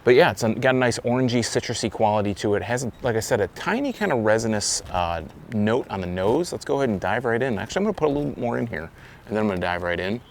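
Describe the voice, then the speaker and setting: A man with a fairly low voice and a gravelly, fry-laden edge talks through a beer review outdoors. He rambles easily and keeps restarting his thoughts. A faint hiss of wind sits behind him. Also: lively intonation, quick pace. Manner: rambling, self-correcting, loose. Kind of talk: casual beer review vlog. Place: outdoors, windy. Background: wind noise.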